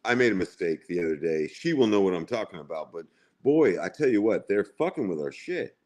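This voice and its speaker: A man's voice: low voice, very animated, brisk, darkish tone. A man in his forties, chatting casually on a livestream and rambling and repeating himself. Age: 40s. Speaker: livestream co-host.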